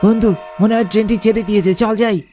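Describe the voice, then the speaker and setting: A man's voice: high-pitched, steady tone, quick pace, warm tone, soft background music, lightly acted. A man voicing an uneasy, chatty young friend with a flair for exaggeration in a horror cartoon. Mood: urgent.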